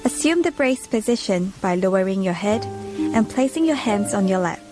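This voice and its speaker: A woman reading scripted safety instructions in a measured, instructional way. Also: medium pitch, animated. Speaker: airline safety video narrator. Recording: loud music under the voice. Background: music.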